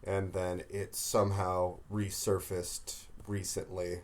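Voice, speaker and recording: Chatty American man, lowish voice. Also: little pitch movement, darkish tone. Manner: chatty storyteller, quick asides, frequent restarts. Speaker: podcast co-host. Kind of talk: casual chat.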